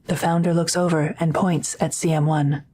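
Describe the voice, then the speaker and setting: A woman's voice: low-pitched, flat delivery, normal speed, dark tone. A woman reading scripted third-person narration as the narrator of an audio drama.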